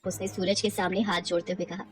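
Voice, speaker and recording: A woman giving expressive scripted narration for a cartoon moral story. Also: fairly low pitch, fast, faint music behind.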